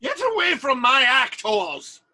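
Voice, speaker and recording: Exasperated stage manager and playwright: very high, bright, declamatory, theatrical audio drama. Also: male voice, big pitch swings, measured pace, full character voice. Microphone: video call recording.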